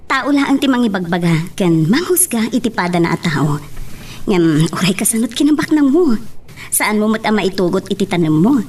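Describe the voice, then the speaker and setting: A woman playing a kind, principled young sweetheart in a radio drama, earnest and gently moralizing. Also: mid-range voice, very animated, fairly quick.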